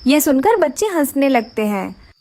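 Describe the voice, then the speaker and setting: Female narrator, children's storytelling, high-pitched, animated intonation, scripted. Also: normal speed, no echo.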